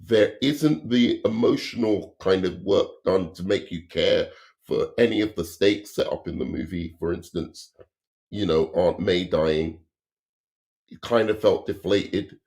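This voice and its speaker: A man with a very low voice, strained and fading as he loses it. He argues his film critique at length in a casual chat. He stops and restarts often. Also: darkish tone. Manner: halting, many restarts and fillers; analytical, explains at length. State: losing his voice. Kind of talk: casual movie review debate.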